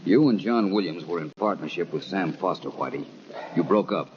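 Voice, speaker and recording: An actor playing a composed private detective in an old radio drama, probing in a measured, dry way. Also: male voice, sits low, animated. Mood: cool, probing. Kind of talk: 1940s radio crime drama acting. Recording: dull old radio recording, faint noise.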